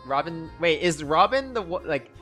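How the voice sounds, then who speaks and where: A man's voice: fairly high pitch, big pitch swings, moderate pace, pushed and bright. A young man gushing casually and slangily as he reacts to a game and chats with viewers. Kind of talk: casual livestream reaction commentary. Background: game music.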